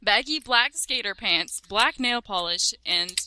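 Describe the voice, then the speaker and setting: Woman co-reader, lively pitch, unhurried and giggly, reading a silly story aloud. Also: clean tone, no echo, poor audio. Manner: giggly, breaking into laughter while reading. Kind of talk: reading a parody fanfic aloud.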